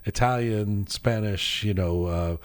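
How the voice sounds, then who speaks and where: Low-voiced man, rambling and animated. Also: slow. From Iranian heritage. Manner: rambling, animated storyteller with many restarts. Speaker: podcast host. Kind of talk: casual chat. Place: studio.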